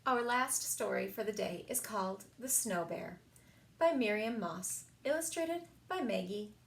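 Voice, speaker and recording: A woman reading children's stories aloud as a story time reader, in an expressive, animated storytelling style. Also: mid-range voice, a little slow, creaky. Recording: echoey room.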